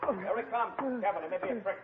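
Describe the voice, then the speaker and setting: An actor playing an urgent, commanding police officer calling out wary orders in a 1940s radio crime drama. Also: male voice, sits high, fast talker, husky. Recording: muffled old radio recording.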